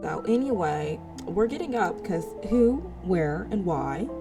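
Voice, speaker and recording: A woman reading a visual novel aloud as its player, dramatic but halting over words, with casual asides. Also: lowish voice, lively intonation. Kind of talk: reading game text aloud with casual reactions. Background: music.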